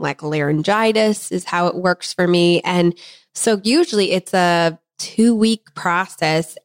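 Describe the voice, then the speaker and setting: Woman, thirties, slightly hoarse, chatty podcast co-host, dry close mic. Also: on the low side, dry recording. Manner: chatty, talkative, laughs easily. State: slightly hoarse, recovering from laryngitis. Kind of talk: casual chat.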